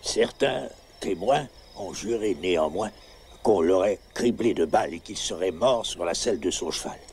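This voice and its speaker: Un habitant complaisant qui lit la gazette : voix grave, débit simple, léger bruit de fond. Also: male voice, low voice, animated, normal speed, a little mellow, slight background noise. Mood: reading. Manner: plain, compliant reading aloud. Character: obliging townsman reading the newspaper aloud. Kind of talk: French film dub.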